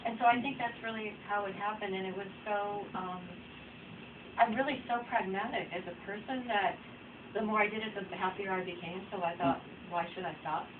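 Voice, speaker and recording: A woman's voice: mid-range voice, fairly even intonation, a little fast. A woman author speaking informally at a book event, reflective and rambling in a conversational way. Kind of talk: informal audience Q&A. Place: bookstore reading event. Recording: distant mic, dull noisy room recording.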